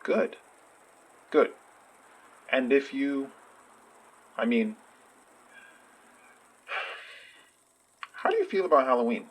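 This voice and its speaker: An earnest, insecure friend in an audio drama, shy and hopeful. The voice is slightly husky and very mobile in pitch, with halting, questioning phrases that restart often. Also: very animated. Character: earnest, insecure friend shyly hoping for a date. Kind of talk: naturalistic audio drama acting.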